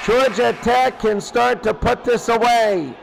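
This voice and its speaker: A man's voice: very high-pitched, a little slow, gravelly, noisy background. A man with an American accent calling football play by play, exclaiming on big plays. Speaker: play-by-play commentator. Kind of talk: live American football commentary.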